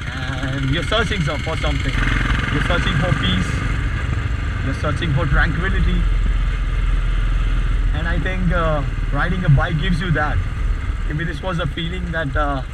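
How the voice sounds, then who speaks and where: A man's voice: fairly high pitch, steady tone, a little slow, pauses often. A young man with an Indian accent talking candidly and casually, vlogging while riding a motorcycle outdoors. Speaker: motovlogger. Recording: loud motorcycle engine and outdoor noise.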